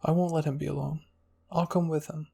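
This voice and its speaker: A loyal, caring young girl as put on by a male reader in an anime story roleplay. The voice is soft and questioning, husky with creak, over faint music. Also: fairly high pitch, level tone, fairly quick. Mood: curious, caring.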